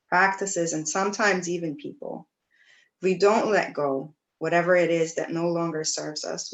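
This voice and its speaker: Woman, slightly low pitch, yoga instructor, guided meditation, gentle, soothing, pauses between phrases. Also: rough recording. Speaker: yoga teacher leading a class.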